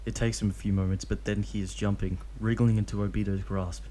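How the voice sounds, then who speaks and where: Low-voiced man reading a fanfic. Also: little pitch movement, brisk, dark tone, slight background noise. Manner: plain reading with slips and restarts. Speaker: fanfiction reader. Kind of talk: reading fanfiction aloud, then casual commentary.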